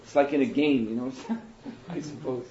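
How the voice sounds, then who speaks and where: A man speaking as a spiritual teacher in a question and answer session, thoughtful and unhurried, pausing often. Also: medium pitch, slight background noise. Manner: thoughtful, unhurried, with pauses and soft laughs. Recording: roomy hall, muffled and lo-fi.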